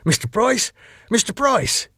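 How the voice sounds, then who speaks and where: A man's voice: mid-range voice, moderate pace, creaky, breathy edge, no room sound, clean studio sound. A man voicing a polite, deferential doorman who pleads anxiously with his employers. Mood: imploring. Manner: deferential, imploring.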